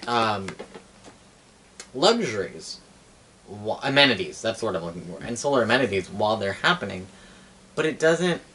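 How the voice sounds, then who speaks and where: A young man with a fairly low voice talks casually and explains at length, like a game master telling lore. He halts and corrects himself often. The recording has faint noise and comes from a camera mic in a small room. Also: very animated, moderate pace, long pauses, pushed and bright. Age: young adult. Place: small cluttered living room, indoors.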